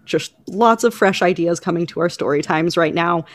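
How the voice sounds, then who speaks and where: Woman on a podcast explaining stuff casually, lowish voice, no echo. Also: moderate pace. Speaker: podcast panelist. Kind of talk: casual informational talk.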